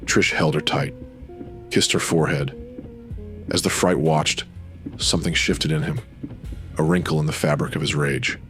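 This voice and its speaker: Very deep-voiced man narrating dark noir. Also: fairly even intonation, moderate pace, long pauses, soft background music. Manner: brooding, dramatic. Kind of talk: scripted noir radio drama narration.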